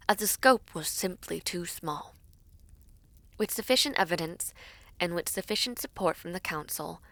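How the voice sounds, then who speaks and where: A woman playing a composed young princess in a fantasy audio roleplay, speaking in formal, deliberate, stately phrases. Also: on the low side, a bit bright, dry recording. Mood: measured, authoritative. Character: composed young princess negotiating a peace treaty, regal and measured.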